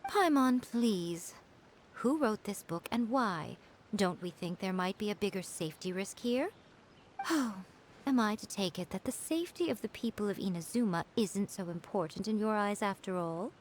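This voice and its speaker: A woman's voice: mid-range voice, lively intonation, moderate pace, a little hiss or hum, lightly acted. A woman voicing a haughty, teasing female fox-spirit shrine maiden in a video game, poised and knowing. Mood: sly, goading.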